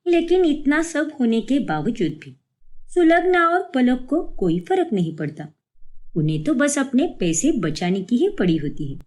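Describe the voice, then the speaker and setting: A woman's voice: sits high, sing-song, unhurried, darkish tone. A woman narrating a scripted moral story for a cartoon as the storyteller.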